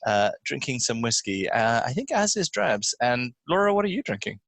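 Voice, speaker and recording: A man's voice: medium pitch, sing-song, normal speed, a bit bright, no echo. A man hosting a casual podcast chat in a playful, jokey way. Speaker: podcast host.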